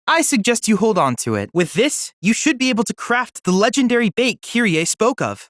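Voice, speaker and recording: An actor as a polite, lively-pitched boy android assistant. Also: no echo, clean studio sound, lightly acted. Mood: helpful, earnest. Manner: formal, precise, courteous. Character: polite, eager, scholarly teenage boy android research assistant. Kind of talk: scripted video game character dialogue.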